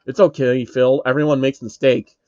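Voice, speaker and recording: A calm male guild leader who keeps his voice steady even when he threatens someone, as a man reads him. The sound is dry and dull, with a lo-fi quality. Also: medium pitch, a little fast, dry recording, natural voice.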